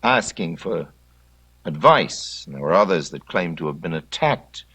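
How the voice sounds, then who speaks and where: Very low, animated voice of a man recalling. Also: a little slow, breathy edge. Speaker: interviewee recalling a broadcast. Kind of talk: recollection told in measured speech.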